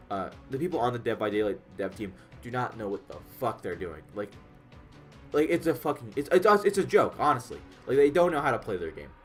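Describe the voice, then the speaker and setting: Nasal, congested young man rambling. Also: medium pitch. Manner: rambling, profane, frequent fillers and restarts. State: congested, under the weather. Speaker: gaming YouTuber. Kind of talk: casual commentary reading patch notes. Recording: faint background music.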